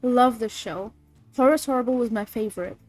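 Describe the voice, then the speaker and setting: Bubbly high-voiced woman streamer with a cold. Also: brisk, a little mellow. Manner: bubbly, swears, reads chat aloud. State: sniffly with a cold. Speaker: streamer reading creepypasta. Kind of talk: casual livestream chat and reading aloud. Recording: faint music behind.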